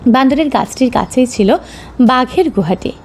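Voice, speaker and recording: A woman's voice: high voice, animated, normal speed, slight background noise. A woman narrating a scripted children's story as a storyteller. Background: soft background music.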